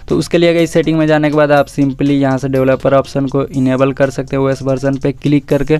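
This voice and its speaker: A man's voice: medium pitch, a little mellow, clear, resonant tone. A man giving a casual phone feature walkthrough, mixing English words into informal speech. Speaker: tech reviewer.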